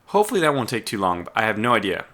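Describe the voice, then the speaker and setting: A man's voice: fairly low pitch, big pitch swings, rapid-fire, sharp tone. A man losing his voice, talking to himself in a casual let's play of a strategy game with keyboard typing behind him. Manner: muttering to himself while playing. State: losing his voice, clearing his throat. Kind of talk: casual gameplay commentary.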